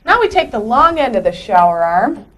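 Lively higher-voiced woman explaining installs. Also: moderate pace. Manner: friendly, explains each step while working. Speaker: renovation crew member. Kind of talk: hands-on how-to instruction.

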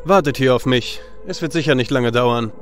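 A man's voice: mid-range voice, normal speed, soft background music, lightly acted. A man voicing an arrogant, brave mythical hero in a fantasy audio drama, with pompous, formal, self-assured delivery. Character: arrogant, brave young male Greek-myth hero.

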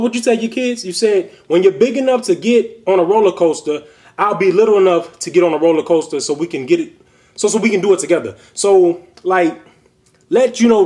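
High-voiced man rambling to his webcam. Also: darkish tone. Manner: rambling, loose, many fillers and restarts. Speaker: fitness vlogger. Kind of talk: casual video response. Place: small bedroom at home, indoors.

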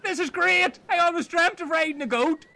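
A man's voice: extremely high pitch, normal speed, gravelly, pushed and bright, exaggerated voice. A man playing a dim, petulant, foul-mouthed castaway in a silly comedy sketch. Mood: excited. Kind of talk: scripted comedy audio sketch.

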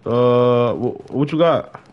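A man's voice: mid-range voice, takes it slowly, smooth, muffled recording. A young adult man chatting casually on a gaming livestream in slangy, informal speech with plenty of swearing. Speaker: game livestreamer.

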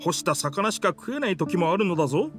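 An actor voicing a proud, dramatic talking pirate ship in an anime video game, in pompous, rough masculine speech. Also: male voice, high-pitched, very animated, fast. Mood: boastful. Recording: music behind the voice.

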